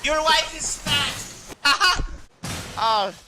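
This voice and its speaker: A man's voice: very high voice, expressive, drawn-out words, very noisy, exaggerated voice. A man putting on a goofy prankster voice with broken English and crude jokes, in a game stream. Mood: silly.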